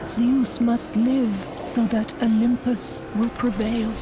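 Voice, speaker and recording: A solemn game goddess defending her realm. Her voice is high and earnest, and she phrases everything slowly and gravely over clear music. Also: flat delivery. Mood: solemn warning. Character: noble, solemn goddess pleading to defend Olympus. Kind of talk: dramatic video game acting. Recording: orchestral music behind voice.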